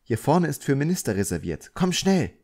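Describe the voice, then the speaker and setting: A man's voice: mid-range voice, big pitch swings, moderate pace, a little mellow, pitched above the performer's own voice. A man voicing a flustered, bossy married woman in an audiobook, urgent and insistent.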